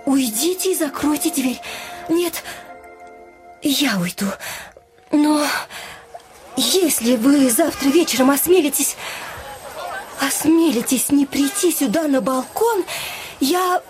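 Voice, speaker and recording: An actress playing a proud, wounded young princess who speaks hesitantly and theatrically in a radio drama. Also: female voice, high voice, darkish tone. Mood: flustered, imperious. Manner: hesitant, theatrical delivery with breathy whispers. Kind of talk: stage-like radio drama acting.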